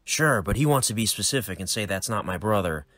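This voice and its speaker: A man's voice: lowish voice, animated, fairly quick, a bit bright, no room sound. A man voicing a hot-headed young male assassin who talks in a brash, pushy way.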